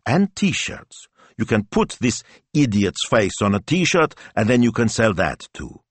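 Man voicing a bossy, shrewd wife, lively delivery. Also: fairly low pitch, a little slow, no room sound, voice pushed up. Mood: chiding. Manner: chiding, matter-of-fact, decisive. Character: shrewd, bossy Italian wife, practical and brisk.

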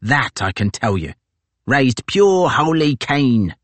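A man voicing a big, brash Marine sergeant who talks in a loud, boastful, rough working-class way. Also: mid-range voice, unhurried, dry recording, pitched above the performer's own voice, close to an everyday voice. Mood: gloating.

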